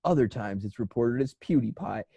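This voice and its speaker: A man's voice: medium pitch, expressive, fairly quick, creaky, mellow, slightly rough, muffled recording. A man co-hosting a podcast, chatting casually and comedically in a rambling, profane way. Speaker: podcast host.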